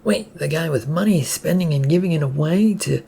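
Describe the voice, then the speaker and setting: A nosy, excitable onlooker acted by a narrator calls out loudly in a raised, husky voice, as a storybook character. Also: medium pitch, normal speed, voice pushed up. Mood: curious, shouting. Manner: calling out loudly. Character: excitable, nosy bystanders shouting from a crowd.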